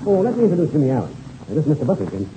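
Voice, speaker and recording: An actor playing a friendly, breezy American pilot and mentor with an American accent in a 1930s radio serial. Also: male voice, fairly high pitch, sing-song, rapid-fire, dark tone, rough recording. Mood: hearty. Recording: faintly hissy old radio recording.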